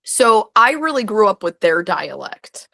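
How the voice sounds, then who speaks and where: A woman's voice: medium pitch, very animated, measured pace, creaky, sharp tone. A young woman, a guest historian, answering interview questions in a chatty way full of "like" and restarts.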